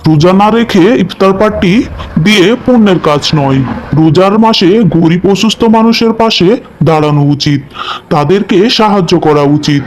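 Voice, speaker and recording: A man's voice: high voice, moderate pace, slight background noise, natural voice. A man playing a righteous, reproachful guest who moralizes in a cartoon story. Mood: indignant. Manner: earnest, reproachful, preachy.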